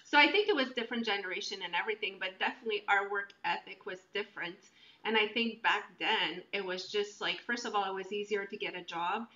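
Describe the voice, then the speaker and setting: A woman's voice: medium pitch, quick pace, bright, forceful tone. A middle-aged woman chatting casually as a podcast co-host from a room on a video call. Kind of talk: casual conversation. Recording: muffled, roomy video-call sound.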